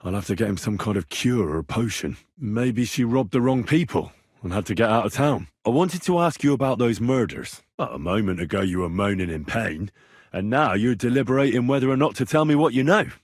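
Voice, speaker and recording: A man's voice: fairly low pitch, animated, fairly quick, no room sound, studio quality. A man playing a stubborn, earnest young man in a video game, speaking plainly and probingly as he investigates. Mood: businesslike. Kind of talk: video game character dialogue.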